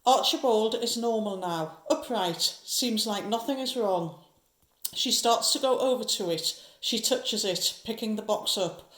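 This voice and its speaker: A woman's voice: a little high, unhurried, a bit bright, reverberant. A woman narrating a horror audio drama from a script, describing each scene.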